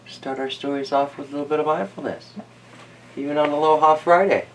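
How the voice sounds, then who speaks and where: A man hosting a children's story reading, mixing casual, easygoing chat and musing asides with animated storybook narration. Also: a little high, a little fast, recorded from a distance, a little hiss or hum, low-quality recording.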